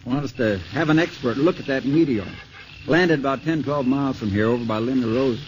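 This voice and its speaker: A man's voice: medium pitch, brisk, lo-fi sound, a little hiss or hum, close to an everyday voice. A man playing a good-natured, folksy fire lookout in a 1950s radio drama, colloquial and eager, on an old radio recording. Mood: sheepish. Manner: folksy, colloquial, hesitant and drawn out.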